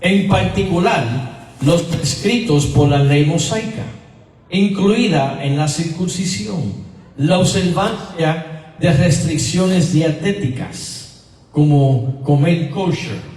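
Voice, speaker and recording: A man with an American accent, speaking Spanish as a second language, preaching a sermon as pastor in a slow, emphatic teaching manner that repeats key words. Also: fairly high pitch, a little mellow. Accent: American English. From United States. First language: English. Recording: echoey hall, voice from far off.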